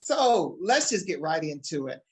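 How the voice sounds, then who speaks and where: An upbeat man hosting a talk show. He speaks with excited emphasis and addresses his listeners directly, at a middling pitch. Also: unhurried, slight background noise.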